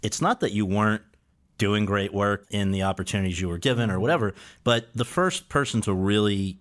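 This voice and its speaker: Male interviewer, fairly low voice, prepared and friendly. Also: a little fast. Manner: prepared, reads quotes back, friendly laughs. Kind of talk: conversational interview.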